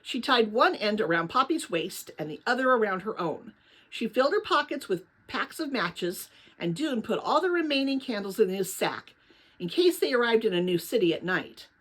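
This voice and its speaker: A woman narrating a children's novel aloud in an expressive storybook style. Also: on the high side, moderate pace, poor audio.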